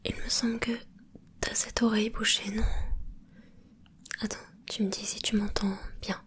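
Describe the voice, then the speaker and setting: A woman whispering as a gentle mermaid. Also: medium pitch, flat delivery, lightly acted. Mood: soothing whisper. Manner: soft whispering, slow and soothing; playful, curious, reassuring. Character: gentle caring female mermaid of the deep. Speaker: ASMR creator. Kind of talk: ASMR roleplay.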